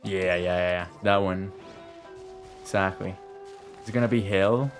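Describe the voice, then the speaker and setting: Low-voiced man streaming a game. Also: fairly even intonation, unhurried. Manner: informal, sweary, talks to himself and the game. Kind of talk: casual live game commentary. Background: game music.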